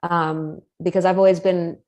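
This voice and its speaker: A woman's voice: lowish voice, flat delivery, normal speed, a little mellow, highs cut off. A woman speaking earnestly on a live video call, thinking aloud about commitment as a coach, with frequent restarts and fillers. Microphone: video call mic.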